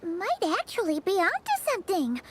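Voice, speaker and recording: A woman voicing a small, chirpy and eager floating female companion in a game, with a high, squeaky, childlike voice. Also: huge pitch swings, moderate pace, heavy background noise, exaggerated voice. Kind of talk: game character dialogue.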